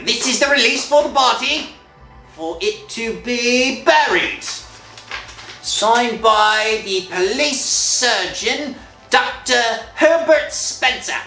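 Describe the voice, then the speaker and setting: A woman's voice: mid-range voice, pushed and bright, full character voice. A woman playing a casual, skeptical police officer in an old-time radio drama, offhand and wry. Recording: echoey room, faint music behind.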